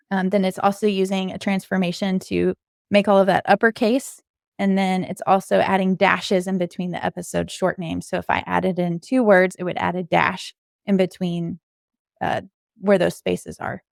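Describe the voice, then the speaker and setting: Woman with creaky voice explaining step by step. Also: mid-range voice, no echo, clean studio sound. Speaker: podcast co-host giving a demo as guest. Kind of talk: informal screen-share coding walkthrough.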